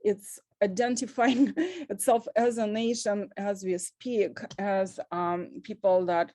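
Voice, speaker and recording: Woman in her 50s on a video call, pausing a lot, mellow tone, very dry. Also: mid-range voice, no room sound. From Russia. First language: Russian. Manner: earnest and halting, with many pauses. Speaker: panelist. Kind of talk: panel talk on a video call.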